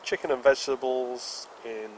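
A man's voice: medium pitch, steady tone, normal speed. A British man in his forties casually explaining outdoor camp cooking step by step, recorded outdoors in woodland on a camera mic. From United Kingdom. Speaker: outdoor vlogger. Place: woodland picnic table outdoors. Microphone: camera mic, handheld then on a tripod. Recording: outdoor camera audio with clear background noise. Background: open outdoor air in woodland.

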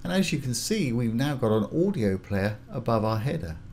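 A man hosting a casual step-by-step software tutorial, informally talking through each action as he goes. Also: mid-range voice, very animated, normal speed. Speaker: tutorial host. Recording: clear background noise, keyboard typing.

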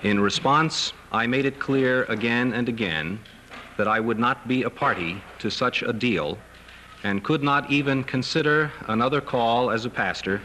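A middle-aged American man giving a formal, measured press statement. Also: medium pitch, bright, forceful tone. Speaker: clergyman, seminary head speaking to press. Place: wood-paneled press conference room. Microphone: table microphones. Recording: noisy 1970s newsreel film sound.

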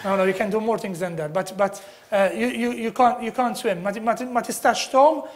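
A man's voice: high-pitched, fairly quick. A Maltese man in his thirties hosts a current affairs interview in a TV studio. He moves between Maltese and simple, careful English and keeps repeating back what his guest says.

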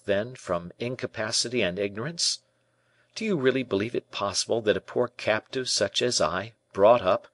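Man playing a proud, lively young captive prince. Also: on the low side, no room sound. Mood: wounded, pleading. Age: young adult. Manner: formal archaic speech, rhetorical questions, impassioned. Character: proud, embittered young captive prince, hot-blooded and despairing.

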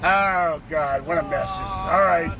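A man's voice: a little high, normal speed. A man hosting a casual vlog from inside a car, talking in a rambling, joking way and laughing often. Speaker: vlog host. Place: inside a car on a traffic-jammed bridge. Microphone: phone camera held in the car. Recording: loud road noise from traffic.

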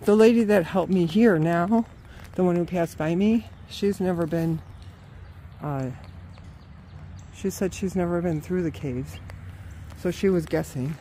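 A woman's voice: lowish voice, unhurried, pauses often, mellow, slight background noise. A woman walking an outdoor wooded trail and filming with a handheld phone, talking casually and exclaiming often, out of breath from the climb. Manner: chatty, exclaims often, laughs. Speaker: vlogger.

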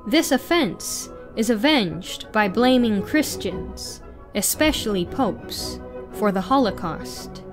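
A young woman narrates a written piece over clearly audible music. Her pitch sits in the middle for a woman. She reads very slowly, in a deliberate and formal way. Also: expressive. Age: young adult. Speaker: narrator reading an article aloud. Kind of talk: scripted read-aloud narration.

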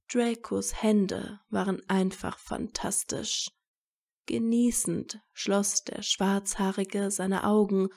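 A woman reading scripted romantic fiction as a narrator in soft, measured storytelling. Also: mid-range voice, vocal fry.

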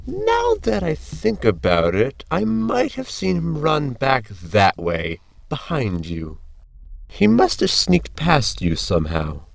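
A man's voice: mid-range voice, huge pitch swings, slow pace, creaky, dry recording, heavily acted. A man playing a prim, long-suffering spaceship crewman, formal and dry, in a science fiction comedy audio drama. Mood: mildly polite.

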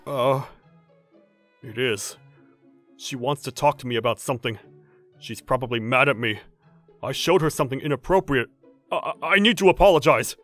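An actor playing a polite, flustered teenage schoolboy in a manga dub, earnest and prone to stammering. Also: male voice, mid-range voice, moderate pace, long pauses, fairly bright tone, soft background music, close to an everyday voice. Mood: nervous. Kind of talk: manga dub voice acting, scripted.

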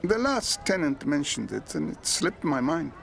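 An actor playing a smooth, scheming village landlord in a radio soap. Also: male voice, fairly high pitch, sing-song, moderate pace, fairly bright tone, exaggerated voice. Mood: affable. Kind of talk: naturalistic radio soap acting.

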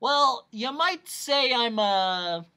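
A narrator voicing a manic, excitable male doctor in a storybook, a theatrical salesman of noise who bellows and stretches out his words. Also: very high-pitched, drawn-out words, voice pushed up, exaggerated voice. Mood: excited, boastful.

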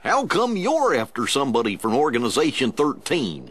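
Goofy husky american cartoon dog, gentle game sidekick, pitch swoops all over. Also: male voice, wildly swooping pitch, moderate pace, heavily acted. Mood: mild, concerned. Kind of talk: cartoon video game acting.

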